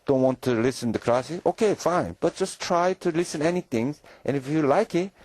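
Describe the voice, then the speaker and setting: A middle-aged man with a Korean accent, a conductor speaking halting English with many fillers as a radio interview guest. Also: a little high, animated, a little mellow, no room sound.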